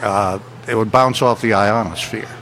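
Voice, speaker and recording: A man's voice: fairly low pitch, steady tone, normal speed, fairly bright tone, a little hiss or hum. An older man co-hosting a casual radio talk show, reminiscing in a rambling way.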